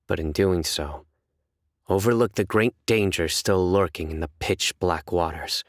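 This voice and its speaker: Low, solemn young enforcer. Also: male voice, no room sound, clean studio sound. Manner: measured, formal, deliberate delivery. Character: stern, principled young male judge and enforcer, solemn and reserved. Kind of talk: scripted video game character voice lines.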